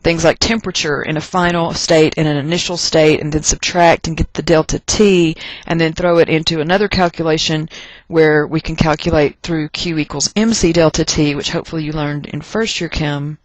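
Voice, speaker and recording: Casting: American science teacher from Texas, medium pitch, folksy and emphatic lecturer, dry recording. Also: steady tone, dry recording, low-quality recording. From Texas, United States. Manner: emphatic, deliberate stress and repetition of key points; folksy, joking asides. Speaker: chemistry teacher. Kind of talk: lecture narrated over class notes.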